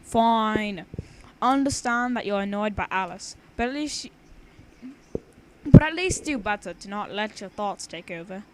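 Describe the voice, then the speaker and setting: A young woman reads aloud in an informal, chatty way. She is giggly and trips over words, switching between story and banter. Her pitch is average, and the homemade recording has some faint background noise. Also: unhurried. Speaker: amateur reader of own fan fiction. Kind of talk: casual read-aloud with banter. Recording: noisy homemade amateur recording.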